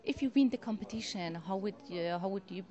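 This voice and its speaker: A woman's voice: medium pitch, a little fast, mellow, dull audio. A woman interviewer asking short, direct questions in non-native phrasing. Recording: crowd chatter behind.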